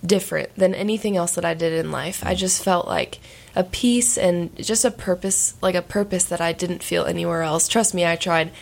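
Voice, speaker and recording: Raspy young woman telling her story. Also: lowish voice, moderate pace. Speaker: guest singer. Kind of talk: casual interview.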